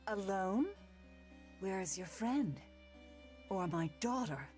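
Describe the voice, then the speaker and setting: An actress playing a stern, suspicious woman mayor in a visual novel, questioning in a sharp, cold way. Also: mid-range voice, animated, slow pace. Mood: cold suspicion. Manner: sharp, interrogating. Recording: background music behind the voice.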